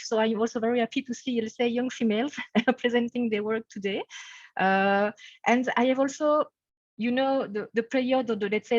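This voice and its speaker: Belgian French woman, slightly high voice, halting webinar chair; dry, dull call line. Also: no echo. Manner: hesitant, many fillers and "let's say". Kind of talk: webinar introduction. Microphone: video call.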